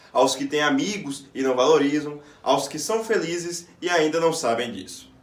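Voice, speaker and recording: A man's voice: fairly high pitch, a bit bright. A man with a Brazilian accent, an actor reciting a scripted theatrical monologue. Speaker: stage actor. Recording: echoey room, distant microphone.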